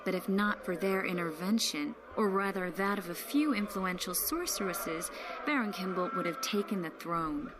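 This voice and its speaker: A woman's voice: fairly low pitch, heavy background noise, natural voice. Woman playing a composed, shrewd courtly woman. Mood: explanatory. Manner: measured, wry, expository. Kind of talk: video game cutscene acting.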